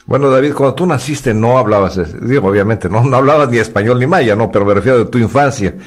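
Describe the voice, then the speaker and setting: A man hosting a radio interview in a studio, framing his questions haltingly and repeating words as he goes. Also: mid-range voice, faint music behind. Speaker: radio host.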